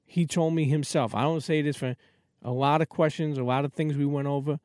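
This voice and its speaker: New York podcast host, energetic, dry close sound. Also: male voice, fairly high pitch, fairly quick, no echo. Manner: energetic, repeats "okay". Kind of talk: casual interview.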